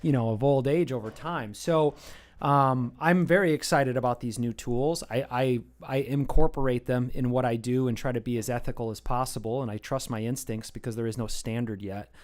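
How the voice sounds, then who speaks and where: An American man chatting casually on a live art stream, thinking out loud as he talks. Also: mid-range voice, fairly even intonation, moderate pace. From United States. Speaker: streaming artist. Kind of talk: casual chat while drawing on stream.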